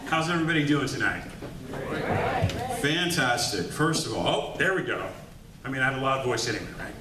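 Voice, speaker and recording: A man, an executive coach, giving a lively motivational workshop talk to an audience, often tossing "right?" back at the room. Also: medium pitch, fairly quick, far from the mic, over a noisy crowd. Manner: upbeat, calls to the audience with "right?". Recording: echoey room recorded from afar with faint hiss.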